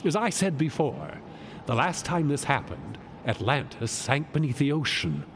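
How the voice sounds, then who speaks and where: A man's voice: mid-range voice, wildly swooping pitch, normal speed. A man playing a chatty, knowing god who explains things emphatically in an audio drama. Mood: explaining. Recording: noisy outdoor ambience behind.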